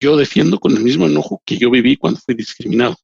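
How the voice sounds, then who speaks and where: A man, a human rights lawyer and professor whose first language is not Spanish, answering interview questions in slow, deliberate speech with many restarts. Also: medium pitch, creaky, darkish tone, husky, no room sound, dull audio.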